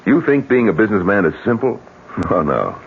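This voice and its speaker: A blunt, self-made American businessman in a vintage radio play. His voice is deep and very rough, and his inflection swings widely as he challenges people. The recording sounds slightly dull. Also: sing-song, a little fast, slight background noise. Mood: insistent, combative. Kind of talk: 1970s American radio drama acting.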